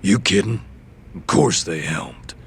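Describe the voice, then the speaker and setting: Gruff, deep-voiced, hot-tempered yakuza thug. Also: male voice, big pitch swings, takes it slowly, a little hiss or hum, full character voice. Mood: grudging, cagey. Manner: gruff, belligerent, sneering, profane. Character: hot-tempered foul-mouthed male yakuza gangster, defiant, cagey. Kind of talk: English video game dub acting.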